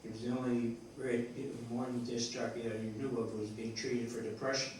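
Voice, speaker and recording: Echoey, halting, flat-toned man. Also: medium pitch. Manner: halting, searching for words, self-correcting. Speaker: playwright discussing his play. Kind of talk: rambling post-show discussion. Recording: echoey room, distant mic, faint noise.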